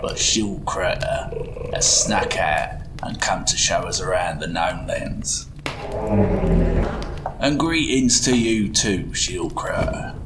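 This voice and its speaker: A man's voice: deep voice, takes it slowly, very noisy. A man voicing a chatty, folksy goblin tinkerer who guides the listener through a playful fantasy bedtime story. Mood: fond, wry. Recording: music behind the voice. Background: music.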